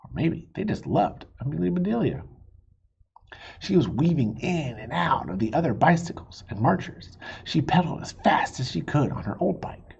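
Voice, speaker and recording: A man reading a children's story aloud in a playful, expressive way, with a husky voice. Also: a little high, moderate pace, dull audio. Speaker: storybook reader.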